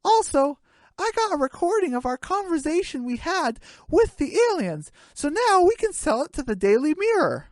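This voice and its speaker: A performer voicing an excitable, cheeky, childlike little chicken in a hobby comedy radio play, with drawn-out delivery. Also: high voice, no room sound, studio quality, pitched above the performer's own voice.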